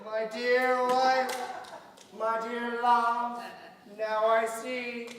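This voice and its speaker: A man acts out a gullible, fussy old father who fakes his own death to test his family. His voice sits very high and carries theatrically. It rings through a very echoey hall, heard from far off with clear background noise. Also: monotone, drawn-out words, fairly bright tone, full character voice. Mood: wounded sarcasm. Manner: theatrical, projecting to an audience. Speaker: stage actor. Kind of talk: stage play performance.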